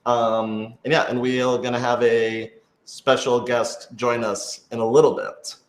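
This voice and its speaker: A man's voice: medium pitch, little pitch movement, measured pace. A man casually co-hosting a live Q&A, explaining things in an organized way with dry humor. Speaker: co-host and producer of an audio drama. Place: indoor room, on a couch. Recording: echoey, roomy sound.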